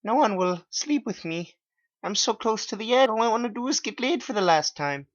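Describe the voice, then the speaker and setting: A man voicing a bitter, lonely old female teacher in a dramatic story, speaking in a high, forlorn manner. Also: lively intonation, normal speed. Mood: forlorn, self-pitying. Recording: dull lo-fi, dry.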